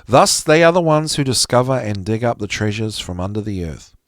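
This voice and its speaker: A man giving an expressive, emphatic reading of a psychology lecture, with deliberate phrasing that drops dramatically at the ends of phrases. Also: fairly low pitch, dry recording, clean studio sound. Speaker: podcast host reading aloud from a psychology text.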